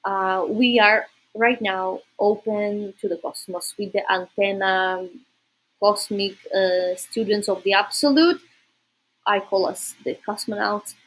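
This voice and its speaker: A woman's voice: mid-range voice, takes it slowly, poor audio. A woman from Russia with a Russian accent, chatting informally and directly to viewers as a livestream host. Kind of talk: casual livestream chat.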